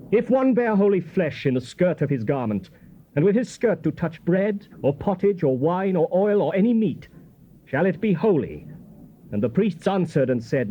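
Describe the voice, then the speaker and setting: An actor playing a solemn, commanding Old Testament prophet in a stately, declamatory scripture drama. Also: male voice, a little high, lightly acted. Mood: stern, questioning. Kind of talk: dramatized King James scripture reading. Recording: dull, noisy old cassette tape.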